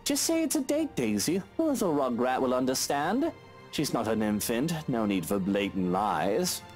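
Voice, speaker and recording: A man's voice: medium pitch, sing-song, normal speed, a little mellow, faint music behind, lightly acted. A man voicing a smug, flirtatious, teasing man in a visual novel, drawling and mocking. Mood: taunting. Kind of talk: character voice read from a visual novel.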